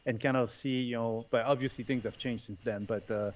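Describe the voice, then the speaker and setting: A man's voice: mid-range voice, steady tone, a little fast. A man from France, a podcast guest talking casually over a remote call, with lots of fillers and restarts. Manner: hesitant, many fillers and restarts, laughs often. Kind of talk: casual interview chat. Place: home in Bali.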